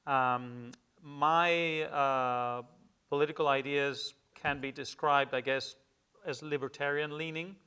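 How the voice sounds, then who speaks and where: A middle-aged man from Peru, a native Spanish speaker, giving a public lecture in a measured, hesitant way with many fillers and restarts. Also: fairly high pitch, steady tone, pushed and bright, clean tone. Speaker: guest lecturer. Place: lecture hall with an audience.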